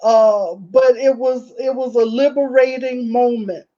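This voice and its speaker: A woman from the American South with a Southern accent, telling a story slowly and deliberately as a panelist. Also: on the high side, steady tone, warm tone, highs cut off.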